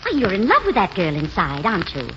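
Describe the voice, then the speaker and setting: An actress playing an imperious, indignant society wife in a 1940s radio drama. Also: female voice, mid-range voice, huge pitch swings, fast. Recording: dull, very noisy old radio recording.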